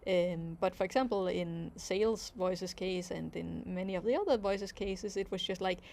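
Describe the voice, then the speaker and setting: A woman's voice: fairly low pitch, moderate pace, vocal fry, darkish tone. A woman with a Danish accent, hosting a casual livestream Q&A as an animation creator, reading viewer questions and answering thoughtfully with plenty of ums. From Denmark. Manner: reads viewer questions aloud, then answers thoughtfully with many fillers.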